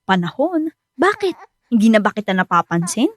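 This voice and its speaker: A woman's voice: medium pitch, big pitch swings, moderate pace, lightly acted. A woman playing a patient young wife and devoted new mother in a Filipino radio drama. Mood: insistent, reassuring.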